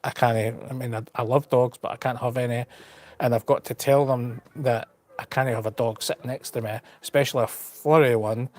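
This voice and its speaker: A man's voice: mid-range voice, steady tone, moderate pace, darkish tone. Scottish man chatting with a slight stutter. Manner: stutters a little, repeats words; rambling, frank. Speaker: travel vlogger on a live stream. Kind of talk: casual chat.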